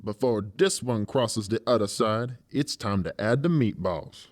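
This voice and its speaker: A man's voice: fairly low pitch, expressive, unhurried, a little hiss or hum. A man playing a large, theatrical, sadistic professional torturer in a dramatized audiobook. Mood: taunting glee. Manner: slangy, showy and taunting. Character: large, gleefully sadistic professional torturer who plays the comedian. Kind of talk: dramatized audiobook character acting.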